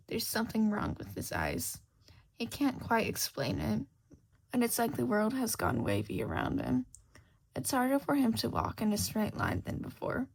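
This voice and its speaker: A woman's voice: fairly high pitch, gravelly, husky. A woman reading a scripted fiction story aloud as its narrator. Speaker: podfic narrator.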